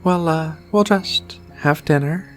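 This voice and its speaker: A woman playing a shy, flirty, affectionate alien in a soft-spoken, hesitant ASMR roleplay. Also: deep voice. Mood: bashful, flustered. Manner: hesitant, bashful, murmuring with many pauses. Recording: quiet music behind the voice.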